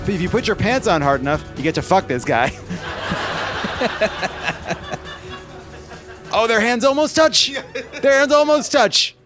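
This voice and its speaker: A man presenting commercials on a live comedy stage, animated and laughing with quick asides. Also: high voice, over music. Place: theater stage before an audience.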